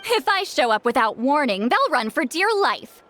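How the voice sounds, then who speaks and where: A woman's voice: high-pitched, big pitch swings, moderate pace, a bit bright, a little hiss or hum. A woman voicing a proud, prickly great shaman in a video game, haughty, defensive and theatrical. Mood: haughty, sheepish.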